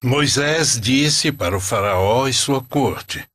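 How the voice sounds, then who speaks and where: A man reads scripted story narration. His delivery is unhurried and animated in pitch, with a rough, husky edge. The sound is close and dry, and the manner solemn. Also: no echo.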